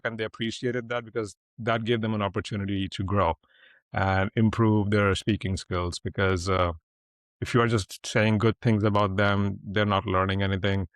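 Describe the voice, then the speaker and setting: Indian man, 30s, low-voiced guest speaker, blunt and rambling, dry close mic. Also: no echo. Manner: blunt, talkative, rambling with frequent "uh" fillers. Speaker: guest, public speaking coach. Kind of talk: casual interview storytelling.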